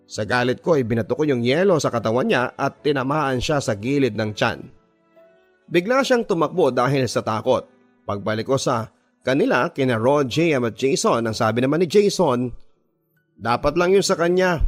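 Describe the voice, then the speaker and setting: A Filipino man tells a first-person story as a radio drama narrator. His medium-pitched voice is dramatic and confiding, reading from a script. Music plays behind him. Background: music bed.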